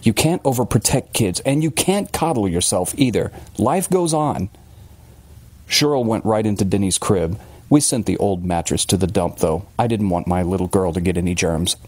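A man's voice: on the low side, animated, moderate pace, no room sound. A man playing an agitated, defensive working-class father confessing a horror in blunt, colloquial words, as in an audiobook. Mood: defensive, bitter.

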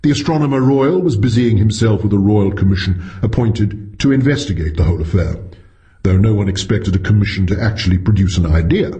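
A man narrating a science fiction story as a scripted audiobook, with an expressive storytelling delivery. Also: on the low side.

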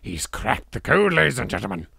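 A man's voice: medium pitch, very animated, brisk, vocal fry, sharp tone, husky. A British man hosting a let's play, commenting with playfully exaggerated reactions. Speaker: gaming video host. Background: game music.

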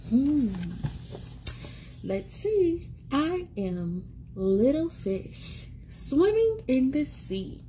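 High, very slow-paced woman reading to children. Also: slight background noise, rough recording. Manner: expressive, sing-song, encouraging, repeats words for children. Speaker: children's read-aloud host and teacher. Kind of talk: animated picture book read-aloud for young children. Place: small indoor room at home. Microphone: phone or tablet at arm's length.

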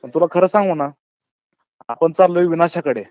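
A man's voice: fairly high pitch, normal speed, a little mellow, smooth, no room sound. A man with a rural Maharashtrian accent chatting on a phone call, holding forth earnestly in colloquial, philosophising style. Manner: colloquial, earnest, philosophising, often addresses listener as brother. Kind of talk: casual phone chat, opinionated monologue. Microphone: phone call, close and dry. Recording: dull lo-fi phone call recording.

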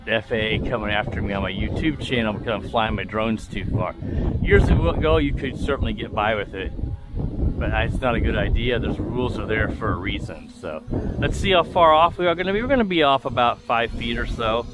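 A man's voice: medium pitch, animated, brisk. A man giving casual, rambling commentary while flying a drone outdoors, with a furry windscreen on his mic. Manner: chatty, rambling, many fillers and restarts. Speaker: drone reviewer. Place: outdoors in a windy field. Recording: loud wind noise in mic.

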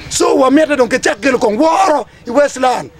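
Ugandan male community leader, thirties, impassioned and declamatory, pitched extremely high. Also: lively intonation, a little fast. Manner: emphatic, declamatory, repetitive phrasing. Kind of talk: impassioned public address to camera. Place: outdoors under trees among a gathering.

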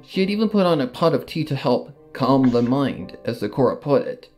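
A man reading a first-person story aloud with dramatic, halting delivery. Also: medium pitch, sing-song, darkish tone. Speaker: fan fiction reader. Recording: music behind the voice. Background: background music.

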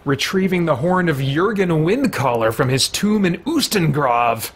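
A man's voice: fairly high pitch, lively intonation, unhurried, breathy edge. A middle-aged man giving casual let's play commentary as a gaming host. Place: indoor room with bookshelves. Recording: faint noise, game ambience behind.

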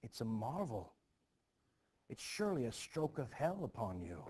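A man's voice: mid-range voice, very animated, normal speed, a little mellow, breathy edge. A man reading a stage play aloud as a teacher, acting out the parts expressively in old-fashioned phrasing. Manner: archaic phrasing, acting out several characters.

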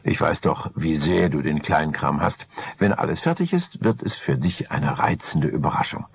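A man doing a smooth, scheming husband, gravelly and animated. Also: very deep voice, normal speed, exaggerated voice. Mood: ingratiating. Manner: ingratiating, calculating.

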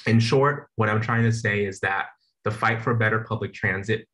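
A man's voice: lowish voice, moderate pace, fairly bright tone. A young man from the San Francisco Bay Area giving an earnest, formal acceptance speech over a video call from a plain indoor room, with a few small restarts. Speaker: award recipient. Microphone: video call webcam.

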